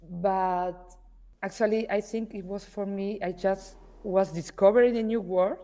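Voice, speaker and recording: A woman's voice: mid-range voice, steady tone, a little slow, dark tone. A woman from Argentina, whose first language is Spanish, speaking hesitantly as a podcast guest. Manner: hesitant, searching for words in English. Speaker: podcast guest, artist manager. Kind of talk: conversational interview.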